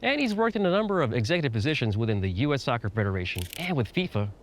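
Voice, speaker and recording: A man's voice: mid-range voice, big pitch swings, very fast, noisy background. A man hosting a documentary, moving between casual interviewing and narration. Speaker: host and interviewer.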